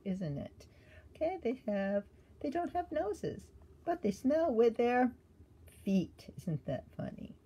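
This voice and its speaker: Older female children's reader, mellow and husky, teacherly, conversational asides to kids. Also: on the low side. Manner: gentle teacherly questioning, addressing children directly. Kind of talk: read-aloud to young children with chatty asides.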